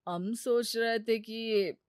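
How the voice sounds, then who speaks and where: A woman's voice: a little high, fairly even intonation, takes it slowly, smooth, no room sound, studio quality. A woman voicing a wheedling, irritable gambler husband in a cartoon story, with a sarcastic, self-justifying manner. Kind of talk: cartoon story character acting.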